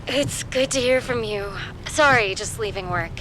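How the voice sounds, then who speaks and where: An actress playing an awkward, kind woman with a halting, flustered manner in an audio drama. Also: a little high, background noise, lightly acted. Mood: awkward, warm. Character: Abigail, awkward, hesitant, kind young woman. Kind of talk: naturalistic audio drama acting.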